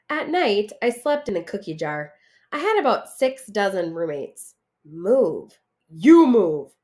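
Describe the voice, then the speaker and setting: Woman, expressive storybook reader for children, very lively swings in pitch, teacherly read-aloud. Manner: expressive, dramatic delivery for children. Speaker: teacher reading a picture book aloud.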